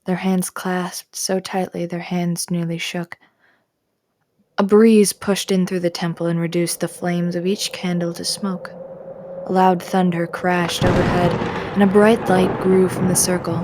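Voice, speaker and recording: A woman speaking gently and quietly in a scripted roleplay, as a storyteller reading aloud. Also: lowish voice, little pitch movement, measured pace.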